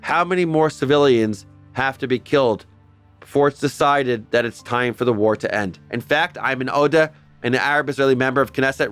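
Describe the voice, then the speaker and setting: A man's voice: mid-range voice, fairly bright tone, clean tone. A man hosting a scripted history explainer, talking earnestly with the odd wry aside. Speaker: host.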